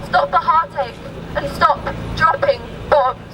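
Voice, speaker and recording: Female spoken-word poet, slow measured delivery, very high projected voice, picked up from a distance amid crowd and traffic noise. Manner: measured, declaimed in rhymed phrases. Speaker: poem reader at protest rally. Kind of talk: spoken-word poetry recital. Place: outdoors at a street protest.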